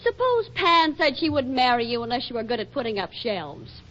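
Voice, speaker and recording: A woman acting in a 1940s radio drama as a brisk, bossy, jealous young wife with an emotional, scolding delivery. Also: on the high side, big pitch swings, a bit bright. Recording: muffled vintage 1940s radio recording.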